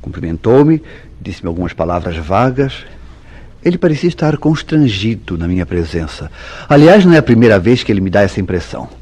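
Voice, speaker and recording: A man's voice: lowish voice, big pitch swings, brisk, darkish tone. A man playing an earnest, brooding newlywed husband in a vintage Brazilian radio soap, with theatrical, formal diction. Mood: suspicious, brooding. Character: tender but suspicious, brooding newlywed husband Mauro.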